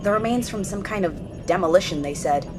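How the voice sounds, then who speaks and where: A woman's voice: mid-range voice, animated, a little fast, music in the background, natural voice. An actress playing a dutiful female naval officer, formal and matter-of-fact, in an audio drama. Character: crisp, deferential female naval junior officer.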